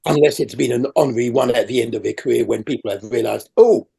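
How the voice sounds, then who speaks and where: Older guy on a video call, gravelly dark voice, lots of uhs and ums, first language french. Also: medium pitch, quick pace. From raised in France. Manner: rambling, hesitant with many fillers. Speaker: awards chair interviewee. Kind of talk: conversational interview.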